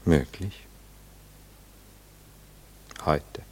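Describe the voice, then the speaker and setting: An actor playing a courteous, reserved gentleman in a radio play, speaking in a polite, reflective and evasive way. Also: very slow. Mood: quiet, sincere. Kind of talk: intimate radio play dialogue.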